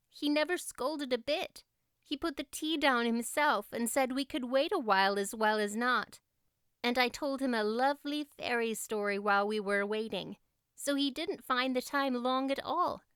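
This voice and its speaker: Audiobook role: woman as exuberant young girl, high clear voice, gushing and dreamy. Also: a bit bright, dry recording, clean studio sound. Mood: chatty. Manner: gushing, breathless enthusiasm. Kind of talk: audiobook character voice.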